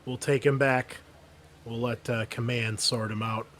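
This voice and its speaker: A man's voice: mid-range voice, little pitch movement, a little slow, fairly bright tone, natural voice. A man voicing a no-nonsense female colonial marine in command, firm and clipped, in a tabletop game.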